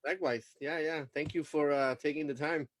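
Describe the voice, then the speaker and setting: A man from Puerto Rico whose first language is Spanish, a student talking haltingly on a coaching video call with many fillers and restarts. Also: a little high, steady tone, no room sound.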